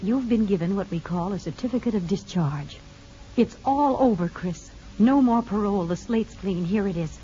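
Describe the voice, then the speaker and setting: An actress playing a kindly parole officer in a 1950s radio drama, speaking in a calm, measured, reassuring way. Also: female voice, mid-range voice, close to an everyday voice. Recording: dull 1950s radio recording.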